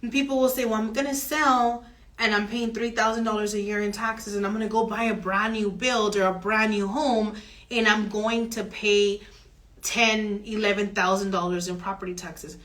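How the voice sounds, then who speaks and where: A woman mortgage broker explaining home financing options in an earnest, steady advisory chat. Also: medium pitch, moderate pace.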